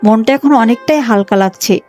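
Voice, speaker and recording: A woman's voice: fairly high pitch, a little fast, warm tone, faint music behind, close to an everyday voice. A woman voicing a poor, dutiful young village daughter in a cartoon story, plaintive, earnest and emotional. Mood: relieved, determined. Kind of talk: Bengali cartoon moral-story voice acting.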